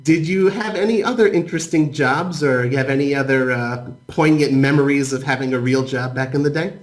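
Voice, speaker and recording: A man's voice: mid-range voice, brisk. A man interviewing a guest, asking questions in a hesitant way with many fillers.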